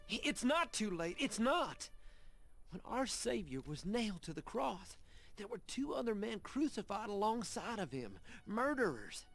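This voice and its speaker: An earnest young man of God in a Western game. His voice sits fairly high with a noticeable creak, and he preaches and pleads in grave scriptural tones over prominent music. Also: big pitch swings, a little slow, fairly bright tone, exaggerated voice. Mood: desperate pleading. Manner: earnest, sermonizing, quotes scripture. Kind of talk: dramatic Western game narration and dialogue.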